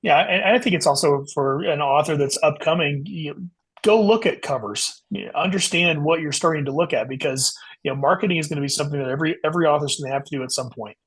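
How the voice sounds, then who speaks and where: A man's voice: on the high side, quick pace, a bit bright, slightly rough. A man speaking as an author guest in a casual interview, explaining things and often saying "you know". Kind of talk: casual interview talk about writing.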